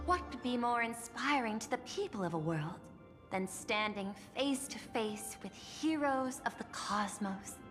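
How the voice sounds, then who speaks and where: An actress voicing a poised, persuasive corporate executive in a video game, speaking in a polished, formal and measured way. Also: female voice, on the high side, lively intonation, long reverb tail, music in the background. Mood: confident, grand.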